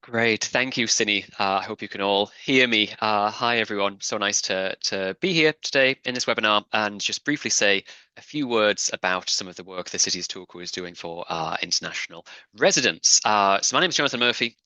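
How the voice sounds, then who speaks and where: A British man gives a friendly webinar talk about helping international residents settle in. His low voice has a very bright, forward tone. The sound is dry and close, with little room around it. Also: fairly quick, clear, resonant tone, no room sound. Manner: friendly, with filler words like kind of. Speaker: international services staff member. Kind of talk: webinar presentation. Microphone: webinar call mic.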